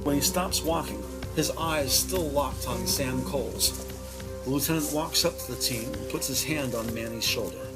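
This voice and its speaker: Male drama narrator over loud music. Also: mid-range voice, moderate pace, low-quality recording. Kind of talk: scripted present-tense drama narration.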